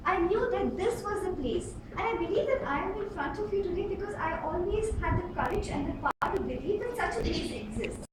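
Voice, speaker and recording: A woman delivers a rehearsed tall tale in a very high, animated voice, pausing for dramatic emphasis like a practiced contest speaker. She is recorded from a distance in a club room, with noticeable noise behind her. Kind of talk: rehearsed storytelling speech to a live club. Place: indoor club meeting room. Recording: roomy, distant mic with clear background noise.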